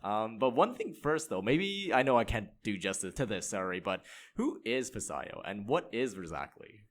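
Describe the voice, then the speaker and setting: A man's voice: lowish voice, very animated, normal speed. A man hosting a podcast, asking long rambling questions full of likes and you knows. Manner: rambling, many fillers and restarts. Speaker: podcast host. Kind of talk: casual interview talk.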